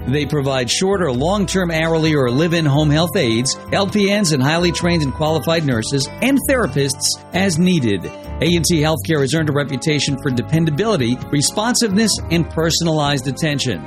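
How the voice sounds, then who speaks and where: Male ad announcer, slightly high, smooth read over a music bed. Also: moderate pace. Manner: smooth, even announcer delivery. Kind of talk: scripted radio ad read.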